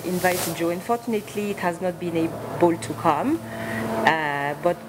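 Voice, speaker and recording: A woman from West Africa, a gospel singer answering reporters' questions at a press conference, slow and thoughtful with many fillers. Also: on the low side, heavy background noise. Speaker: gospel singer hosting a concert. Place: press conference room, indoors. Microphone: table microphone.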